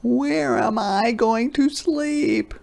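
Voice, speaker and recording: Storybook hedgehog played by a man: small, female, easily upset, high-pitched and plaintive. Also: drawn-out words, gravelly, darkish tone, exaggerated voice. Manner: wailing, exclaiming plaintively.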